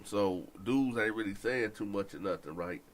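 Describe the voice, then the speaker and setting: A young man with a stuffy nose telling a prison story in a casual, animated way, talking straight to his listeners. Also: on the low side, moderate pace. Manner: animated, addresses listeners directly, slangy.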